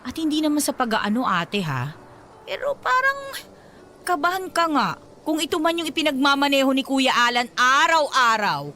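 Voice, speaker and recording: An excitable younger sister in a radio drama. The voice is very high and bright, swooping up and down with teasing, gossipy exclamations and blunt reactions. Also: female voice, normal speed, slight background noise, full character voice. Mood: scandalized, curious.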